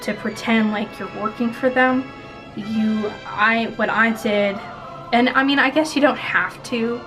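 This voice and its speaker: A woman's voice: a little high, steady tone, a little slow, over music, rough recording. A woman, chatting casually to the camera and giving tips in a rambling, giggly way. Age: 20s. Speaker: vlogger giving interview tips. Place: small indoor room at home.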